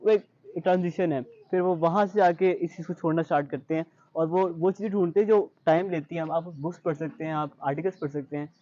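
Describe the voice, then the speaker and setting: A man's voice: on the high side, fairly quick, few pauses, dark tone, dry recording, highs cut off. A young man with a Pakistani accent answering interview questions in an earnest, reflective way, mixing in English phrases. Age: young adult. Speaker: interview guest, high-achieving student.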